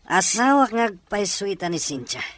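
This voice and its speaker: A man's voice: high voice, sing-song, a little slow, full character voice. A man acting a bitter, unhappily married adult son who defies his mother in an Ilocano radio drama, with an Ilocano accent and an accusing manner. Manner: forceful, accusing.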